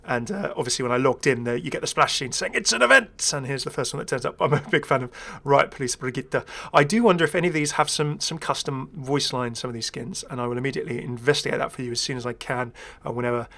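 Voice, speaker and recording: A man's voice: medium pitch, fast, creaky, few pauses, pushed and bright. A man giving casual, rambling first-impressions commentary as a gaming video creator, chatty, enthusiastic and self-deprecating.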